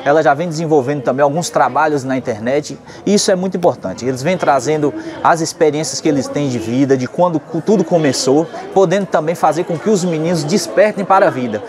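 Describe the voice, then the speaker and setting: A young Brazilian man giving an on-camera statement outdoors in a schoolyard, speaking loud and steady into a lapel mic. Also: fairly high pitch, fast talker. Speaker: municipal department official. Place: outdoor schoolyard beside a large crowd. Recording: crowd chatter behind.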